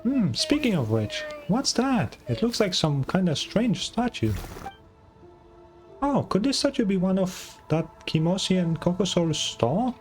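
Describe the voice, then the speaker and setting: Small fretful game fairy, played by a man: high, chirpy voice, swooping and excitable. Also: a little slow, faint music behind. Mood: excited, wondering. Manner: chirpy, exclamatory, questioning. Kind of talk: video game dialogue read aloud.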